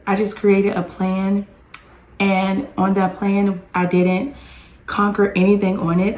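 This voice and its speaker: Chatty hoarse woman, echoey home. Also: mid-range voice, a little mellow. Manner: chatty, rambling with restarts. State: hoarse, losing her voice. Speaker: homeschooling mom vlogger. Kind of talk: casual chatty vlog. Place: home with dishwasher and dryer running.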